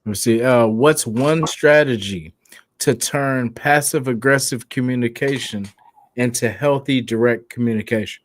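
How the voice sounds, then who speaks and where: A man co-hosting a podcast, reading a discussion question slowly and deliberately. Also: medium pitch, a little hiss or hum. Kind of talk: casual chat.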